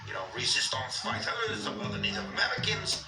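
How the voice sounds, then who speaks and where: A man talks through a history tale in a loose, rambling way, full of fillers and offhand jokes. His voice sounds roomy and comes from a distance, with clear music underneath. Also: high-pitched, lively intonation, fast. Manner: rambling, filler-heavy, joking asides. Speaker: history storyteller. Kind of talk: casual history explainer.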